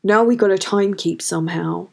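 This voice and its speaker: Blustering, exasperated male mayor of a small fantasy village, played by a woman narrator, gruff and groaning. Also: mid-range voice, moderate pace. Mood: resigned.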